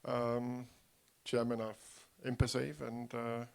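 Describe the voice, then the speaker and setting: A man on a panel introducing himself haltingly, with many fillers. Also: medium pitch, monotone, vocal fry. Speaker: panel speaker. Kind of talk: self-introduction.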